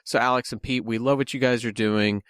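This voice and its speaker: A man's voice: medium pitch, steady tone, a little fast, fairly bright tone, clean tone, no room sound, studio quality. A man co-hosting a film podcast in a casual, joking way while getting over a head cold. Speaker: podcast co-host. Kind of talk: casual chat about a film.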